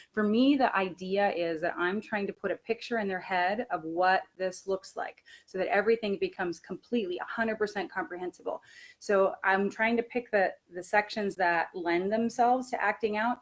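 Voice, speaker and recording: A woman with a medium-pitched voice gives an informal teaching presentation. She sounds like a language teacher explaining her methods conversationally, thinking aloud as she goes.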